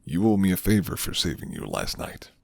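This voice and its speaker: A man playing a flirty, gentle, rugged werewolf who lives alone in the forest, speaking slowly and hushed in a deep, gravelly ASMR roleplay voice. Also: very animated, no echo, full character voice. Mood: soft, amused. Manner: slow, hushed, teasing.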